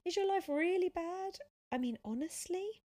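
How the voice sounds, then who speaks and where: A woman voicing a famous young man in an audiobook, a cool, teasing and gently world-weary heartthrob. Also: medium pitch, expressive, moderate pace. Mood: thoughtful. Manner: cool, quiet, intrigued.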